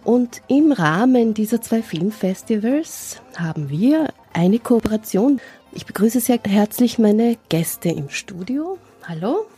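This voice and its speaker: A woman hosting a live radio show from the studio, announcing in a measured, formal way. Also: a little high, sing-song, dark tone, husky, faint music behind. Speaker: radio host. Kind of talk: radio moderation. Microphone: studio microphone.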